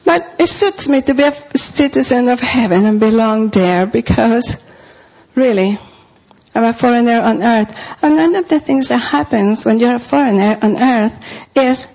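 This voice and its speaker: A woman's voice: a little high, normal speed, gravelly, a little mellow, husky, muffled recording. A middle-aged woman from Costa Rica with a Spanish accent, preaching a sermon in an earnest way and repeating phrases for emphasis.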